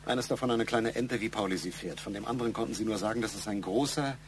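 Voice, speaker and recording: A man's voice: fairly low pitch, quick pace, close to an everyday voice. Insistent middle-aged male boss, played by an actor, pointed and probing, radio crime drama, noisy background. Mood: probing, matter-of-fact. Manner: pointed, probing, matter-of-fact.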